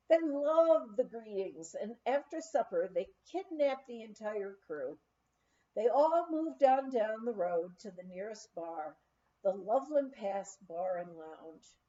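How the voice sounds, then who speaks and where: A woman's voice: fairly high pitch, warm tone, highs cut off. A woman reading a memoir aloud as scripted narration, in a storytelling manner, like an amateur audiobook reader.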